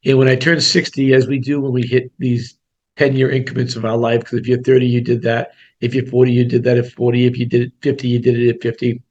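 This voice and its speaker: A man's voice: mid-range voice, monotone, quick pace, darkish tone, muffled recording. A man in his sixties, a real estate coach, giving a blunt, conversational webinar talk with self-deprecating asides. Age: 60s. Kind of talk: webinar presentation.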